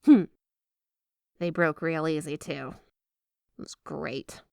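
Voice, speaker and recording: A woman's voice: low voice, steady tone, slow, gravelly, dark tone, dry recording, studio quality, exaggerated voice. A woman playing a flirty, obsessive yandere wife in an ASMR roleplay, gushing and coy with a possessive edge under the sweetness. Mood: smugly menacing. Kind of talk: ASMR roleplay, addressing the listener as spouse. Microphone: close, dry microphone.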